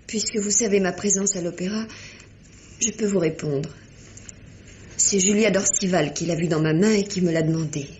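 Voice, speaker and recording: A woman's voice: fairly low pitch, moderate pace, long pauses, mellow, a little hiss or hum, close to an everyday voice. A woman playing a proud, anguished young lady accused of murder, dramatic and formal, in an old-style radio mystery. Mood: anguished, resigned.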